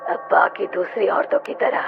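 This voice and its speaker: A woman's voice: normal speed, noisy background, close to an everyday voice. A woman voicing a vengeful, menacing female ghost bride in a dramatic horror cartoon style. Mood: cold menace. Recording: muffled audio with loud music bed.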